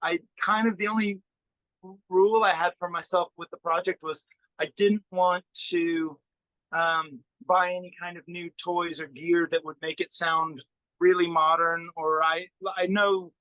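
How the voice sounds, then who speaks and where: A man being interviewed as a musician, chatting casually in a halting way as he thinks aloud. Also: a little high, pushed and bright, no echo, poor audio. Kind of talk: casual interview chat about own work.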